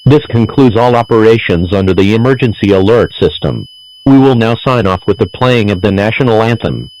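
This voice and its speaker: Man as a low-voiced formal emergency alert announcer. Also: a little fast, darkish tone, a little hiss or hum, close to an everyday voice, rough recording. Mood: formal, official. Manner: formal, impersonal.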